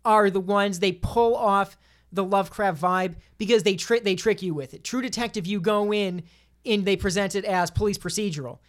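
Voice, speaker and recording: A woman's voice: mid-range voice, fairly even intonation, normal speed. Woman in casual podcast discussion. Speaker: podcast panelist.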